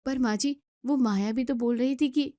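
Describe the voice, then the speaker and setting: A woman voicing a polite, eager young daughter-in-law in an Indian family story, respectful and gently persuasive. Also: high voice, moderate pace, no echo, studio quality, close to an everyday voice.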